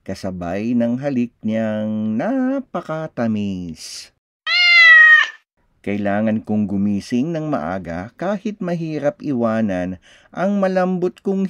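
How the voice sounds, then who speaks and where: A Filipino man reads a children's story aloud in a mellow voice. His pitch moves in lively swoops and he takes his time, stretching words out for young listeners. The sound is close and dry. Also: dry recording, dull audio. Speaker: storyteller reading a children's picture book aloud. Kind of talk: expressive children's read-aloud narration.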